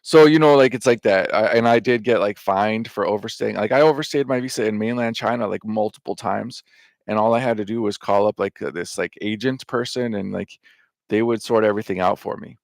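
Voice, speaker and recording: A man's voice: fairly low pitch, brisk, creaky, no room sound, clean studio sound. A man talking in a loose, meandering monologue, full of fillers, restarts and casual swearing. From United States. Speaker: vlogger.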